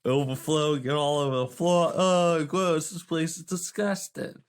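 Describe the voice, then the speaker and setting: A man playing a tabletop role-playing game, talking casually in character in a halting, rambling way. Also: on the high side, fairly even intonation, clear, resonant tone. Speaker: player.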